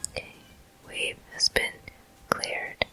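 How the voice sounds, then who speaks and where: A person whispering ASMR gameplay commentary as the host of a walkthrough, in a breathy, unvoiced, gentle and deliberate way. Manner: slow, gentle, deliberate delivery.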